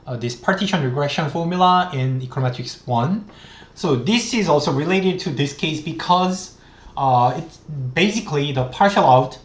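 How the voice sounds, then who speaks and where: A man in his thirties, a native Korean speaker with a Korean accent, lecturing on economics in a halting, step-by-step way with many restarts. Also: on the high side, reverberant. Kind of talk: recorded online lecture. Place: small room indoors.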